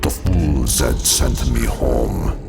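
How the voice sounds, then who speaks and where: An actor playing an ancient evil male god of the void, speaking in a slow, brooding, menacing growl in a motion comic. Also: exaggerated voice. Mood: brooding, ominous. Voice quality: deep gravelly menacing growl. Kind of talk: dramatic voice acting in a motion comic. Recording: with loud score music.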